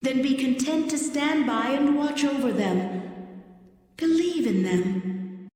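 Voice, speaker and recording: Optimistic water spirit for fantasy game: high, poised, compassionate, formal wording, very echoey. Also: female voice, slow, warm tone. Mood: gently firm. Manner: poised, reflective, formal phrasing. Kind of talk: scripted fantasy game acting. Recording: echoey cavernous hall reverb.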